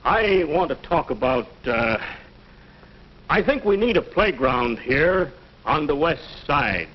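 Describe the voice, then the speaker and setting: A man's voice: fairly high pitch, sing-song, lo-fi sound, exaggerated voice. An actor playing an earnest middle-aged American father who speaks hesitantly and gropes for words, in old educational film style. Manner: hesitant, halting, pondering. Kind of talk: mid-century educational film acting.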